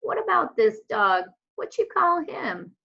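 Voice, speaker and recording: A woman voicing a kindly old lady from a children's storybook, folksy and unhurried. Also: high voice, sing-song, creaky, pushed and bright, exaggerated voice. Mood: amused. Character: kindly old Southern woman with poor eyesight, warm and folksy. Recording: dull lo-fi home recording.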